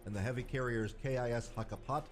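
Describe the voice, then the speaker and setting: A man playing a stern, demanding squadron commander, giving a formal, measured military briefing in a space game. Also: medium pitch, fairly even intonation, faint music behind, lightly acted. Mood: grave, resolute.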